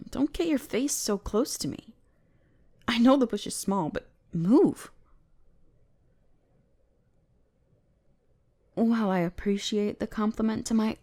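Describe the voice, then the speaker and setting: A woman's voice: medium pitch, vocal fry, darkish tone. A woman playing a bratty, haughty female elf mage, bossy, exasperated and condescending, in a scripted roleplay audio drama. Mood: hushed, flustered.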